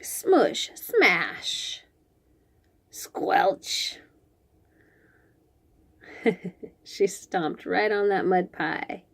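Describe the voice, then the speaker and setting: A woman reading picture books aloud to young children, expressively, with drawn-out phrases and chatty asides. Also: mid-range voice, expressive, vocal fry. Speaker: children's read-aloud host.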